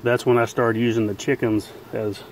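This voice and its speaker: A man's voice: medium pitch, flat delivery, slight background noise, poor audio. A man who keeps bees, talking casually and plainly as he films outdoors in a chicken pen. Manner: plain-spoken, unhurried, folksy grammar. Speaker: beekeeper and channel host. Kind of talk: casual narration while filming. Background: faint buzzing of bees outdoors.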